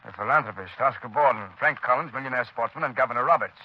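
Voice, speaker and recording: A man's voice: mid-range voice, fast talker, breathy edge. A man acting in a 1930s radio drama with a formal, somewhat pompous delivery. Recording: dull old radio recording, faint hiss.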